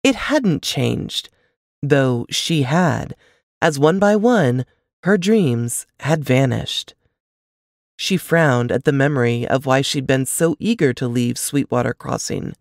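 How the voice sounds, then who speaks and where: A woman narrates fiction in an audiobook style. Her delivery is measured and expressive, with a very animated melody that rises and falls through the phrases. The sound is dry, with little room around the voice. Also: a bass voice, no echo. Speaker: author reading aloud from a novel.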